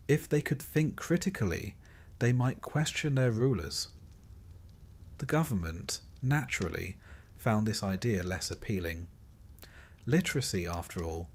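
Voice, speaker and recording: A man with a low, noticeably husky voice reads a scripted history story meant for bedtime listening. His delivery is measured and literary, with a streak of dry irony. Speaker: narrator.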